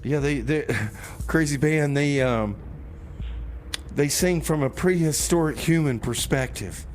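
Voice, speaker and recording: Higher-pitched male community radio host. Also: a little slow, slight background noise. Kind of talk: casual music-show banter with comedy bits.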